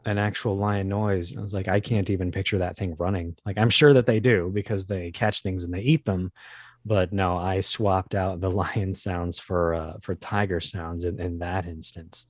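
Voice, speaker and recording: A man speaking casually as an interview guest, talking about his creative work in a halting, think-aloud way. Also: low-pitched, no echo. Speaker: guest, audio drama producer and voice actor.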